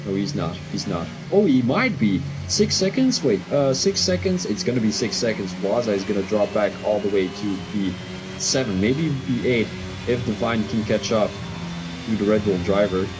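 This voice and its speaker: A man from Belgium with a Belgian accent, a Dutch speaker commentating a sim race live, excitable and quick to second-guess his calls. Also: lowish voice, background noise.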